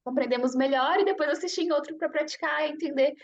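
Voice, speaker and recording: Brazilian woman teacher-host, high voice, friendly questions, muffled lo-fi audio. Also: fast talker. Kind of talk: casual interview.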